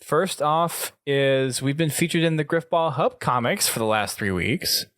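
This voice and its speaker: A man's voice: a little high, animated, a little slow, a bit bright, no room sound, studio quality. A man from the United States hosting a casual gaming podcast in a conversational way. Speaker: podcast host.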